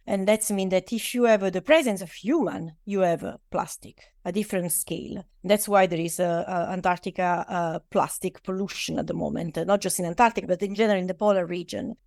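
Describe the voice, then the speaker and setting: A woman's voice: medium pitch, fairly quick, hardly any pauses, darkish tone, no room sound. Italian-accented woman, dry close sound. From Italy. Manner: hesitant non-native phrasing with frequent uh fillers. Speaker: guest, oceanographer. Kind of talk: interview answers.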